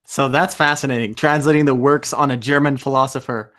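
A young man hosts a podcast conversation from a small room at home, speaking into a studio mic. His pitch sits in the middle of the usual range. He thinks aloud, doubling back on words and leaning on fillers as he goes. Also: fairly even intonation, a bit bright. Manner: thoughtful, halting with frequent restarts and fillers. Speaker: podcast host. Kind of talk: casual video-call interview. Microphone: studio mic with headphones.

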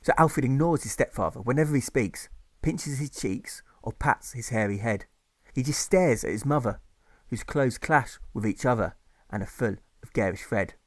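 A man's voice: mid-range voice, moderate pace, no room sound. A man narrating his own rhyming novel as an audiobook in a rhythmic, sing-song reading.

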